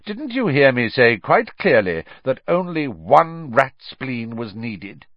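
A man's voice: mid-range voice, sing-song, takes it slowly, no echo, highs cut off, voice pushed up. A man voicing a cold, scornful male potions teacher with an icy, cutting delivery. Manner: icy, cutting, raised in scolding.

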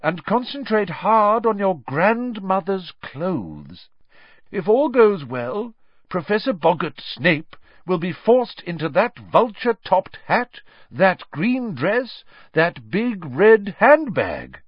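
A man voicing a cheerful, thoughtful teacher of magic in an audiobook, with a deliberate, encouraging manner. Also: high voice, very animated, husky, no echo, highs cut off, pitched above the performer's own voice, heavily acted. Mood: emphatic, coaching. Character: cheerful, reassuring, thoughtful male schoolteacher of magic. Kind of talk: audiobook character reading.

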